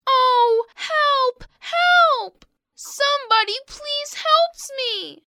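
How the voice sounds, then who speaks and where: Squealing, wailing little piglet. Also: female voice, very high-pitched, little pitch movement, very slow, sharp tone, a little hiss or hum, heavily acted. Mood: panicked, crying. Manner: wailing, pleading. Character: helpless little piglet trapped in rubbish, pleading. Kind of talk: children's animated story acting.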